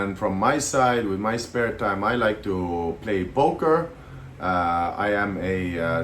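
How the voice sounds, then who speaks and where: A man in his thirties from Estonia talks loosely to the camera, pausing on fillers as he goes. His voice sits very low. A faint noise hums behind him. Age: 30s. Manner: relaxed, hesitant with many fillers. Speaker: vlogger. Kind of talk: casual to-camera chat.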